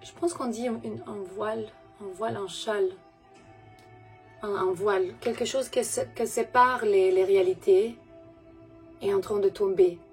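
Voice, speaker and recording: A woman giving a gentle, soothing spiritual message to her viewers in a language that is not her first, pausing often and correcting herself. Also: mid-range voice, fairly even intonation, faint music behind. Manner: gentle, soothing, halting with pauses and self-corrections; speaks French as a second language. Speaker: spiritual messenger.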